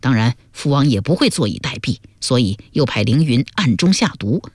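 A man's voice: mid-range voice, very animated, normal speed, husky, dry recording, clean studio sound. An actor playing a stern, scheming middle-aged king and father in a historical audio drama, coaxing and commanding. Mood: explaining.

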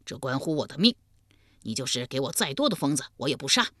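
A woman voicing a stubborn male village butcher in a lowered, gruff voice. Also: sing-song, fast talker, fairly bright tone, dry recording, studio quality, exaggerated voice.

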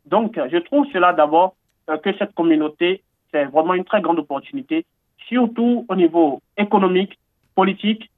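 A man from Benin with a high voice speaks earnestly in a radio interview, pausing often on fillers and repeating himself as he builds enthusiasm. The sound is thin and phone-like, dry with no room echo. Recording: tinny phone-like line, dry.

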